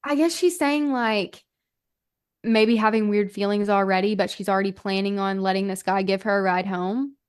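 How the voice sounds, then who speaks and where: A woman's voice: medium pitch, steady tone, normal speed, clean tone. A woman hosting a true-crime podcast, telling the story in a casual, conversational way peppered with "like". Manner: informal, hedging, filler-heavy with "like".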